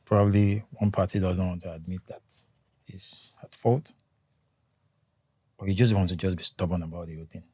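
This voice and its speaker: Young Nigerian man, Nigerian accent, low and warm, calm measured guest. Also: slightly rough, dry recording. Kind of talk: conversational interview.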